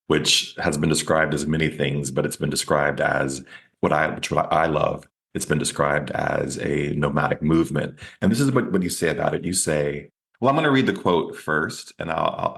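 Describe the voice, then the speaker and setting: A man hosting an interview show, reading a measured scripted introduction and then asking questions. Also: extremely low pitch, vocal fry, a bit bright. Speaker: podcast host.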